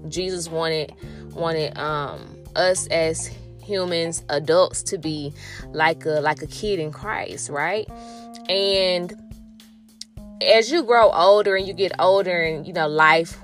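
A woman's voice: fairly low pitch, slow pace, fairly bright tone, soft background music. A middle-aged woman telling a personal story in a rambling, reflective way, with many restarts and fillers and a spiritual turn. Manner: rambling, full of "you know" and restarts. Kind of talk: casual spoken storytelling.

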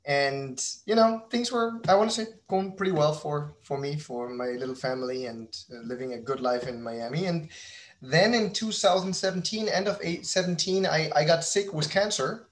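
A man from Berlin with a German accent, telling his story conversationally as a podcast guest, often halting and repeating words. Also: fairly high pitch.

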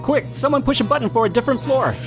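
An actor playing a naive, excitable everyday guy in an audio drama, talking naturally to his friends. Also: extremely high pitch, quick pace, music in the background. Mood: excited, alarmed.

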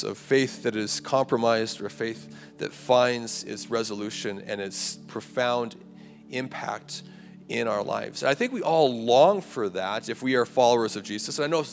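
A man preaching a conversational sermon to a campus ministry, his voice raspy and a little hoarse. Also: medium pitch. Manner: conversational preaching with rhetorical "right?" and "like". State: hoarse, clearing throat. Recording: live hall recording, long reverb, faint music.

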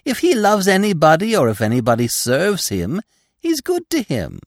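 A man voicing a naive, boastful young male deer in a storybook, with a calm, self-satisfied manner. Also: high voice, moderate pace, no echo, clean studio sound, pitched above the performer's own voice, heavily acted. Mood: proud, boastful. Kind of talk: storybook character voice.

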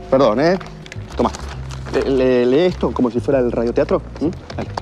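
An actor with a Rioplatense Argentine accent playing a pushy, flustered agent who stammers and repeats himself in a period drama. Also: male voice, medium pitch, animated, a little fast, dark tone, faint music behind, natural voice. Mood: flustered, insistent. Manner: stammering, repeating words insistently. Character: pushy, flustered smooth-talking male agent pitching a singer.

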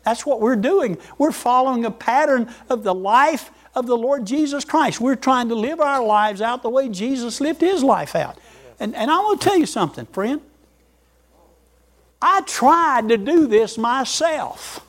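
A man's voice: very high voice, expressive, a little slow, fairly bright tone. An older man preaching a folksy sermon, repeating words and chuckling as he goes.

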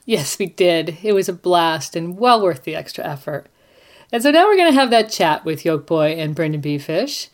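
A woman's voice: fairly low pitch, very animated, normal speed. A woman hosting a podcast, presenting in a prepared yet conversational style. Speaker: podcast host. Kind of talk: scripted-sounding presentation and chat.

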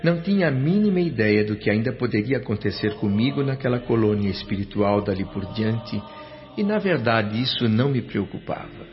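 A man's voice: on the low side, expressive, fairly quick, close to an everyday voice. An actor playing a grateful, wonder-struck Brazilian doctor, earnestly narrating his afterlife in a radio drama. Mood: awed, grateful. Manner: reflective, earnest. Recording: muffled radio drama with music.

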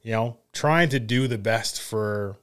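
Male sports host, smooth and clear, informal buddy style. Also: lowish voice, a little slow, a bit bright. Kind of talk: casual sports talk and interviewing.

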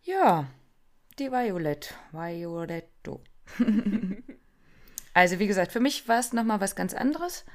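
A woman's voice: medium pitch, lively intonation, takes it slowly, pauses often. A woman co-hosting a podcast, chatty and casual, with frequent fillers and laughter. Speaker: podcast co-host. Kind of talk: casual chat.

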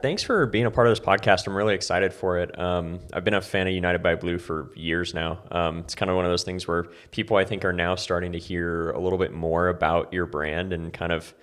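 A man's voice: deep voice, steady tone, fairly quick, few pauses, a bit bright, soft background music. A man hosting a podcast, eager and friendly in his phrasing, asking a guest loose questions with fillers. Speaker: podcast host. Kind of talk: casual interview conversation.

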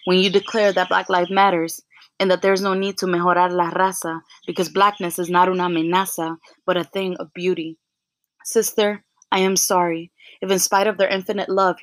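A young woman hosting a podcast, softly introducing and then reciting her own spoken word poem in a measured way. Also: on the low side, no echo. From United States. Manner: soft-spoken, frequent ums, measured poetic delivery. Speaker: podcast host.

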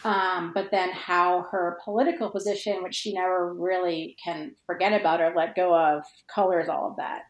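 A woman's voice: on the low side, little pitch movement, normal speed, hardly any pauses. Woman, guest writer, casual podcast chat, thoughtful, hesitant phrasing, roomy echo. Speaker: guest fan fiction writer. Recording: roomy, echoey sound.

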